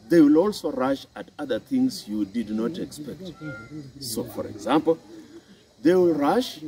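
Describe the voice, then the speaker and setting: A man with a Kenyan accent, a health and psychology specialist, giving a slow, deliberate talk to camera outdoors in a city. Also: warm tone, poor audio. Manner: slow and deliberate, with a swooping emphatic pitch.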